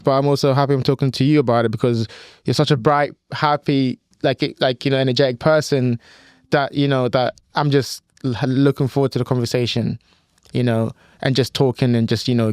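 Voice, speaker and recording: Smooth-voiced young male podcast host. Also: medium pitch, steady tone, fast, dry recording, studio quality. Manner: warm, rambling questions with many "you know" fillers. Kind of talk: casual podcast interview.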